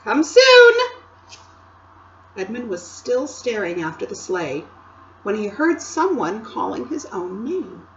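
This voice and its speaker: A teacher reading a storybook aloud in a slow, lilting voice. She pauses to ask the listeners questions, and the recording is a little dull with faint background hiss. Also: mid-range voice. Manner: expressive, asks listeners questions. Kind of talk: read-aloud storybook narration.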